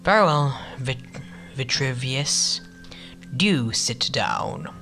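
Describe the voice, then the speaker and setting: Guy doing a nervous stammering council advisor, mid pitch, formal, sci-fi radio play with music behind. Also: expressive, drawn-out words, pushed and bright, exaggerated voice. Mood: uneasy briefing. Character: anxious, deferential advisor to an alien president. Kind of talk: sci-fi audio drama acting.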